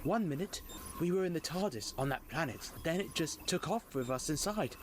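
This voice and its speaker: A man's voice: fairly high pitch, expressive, normal speed, lightly acted. A man playing a confused time traveller's companion in an audio drama, speaking with bewildered urgency. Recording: clear background noise.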